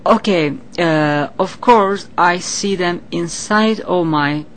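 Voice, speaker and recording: Slow-spoken woman with a Turkish accent. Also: fairly low pitch, slight background noise. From Turkey. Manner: earnest, explanatory, with non-native grammar. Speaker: psychic guest. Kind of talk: interview answers.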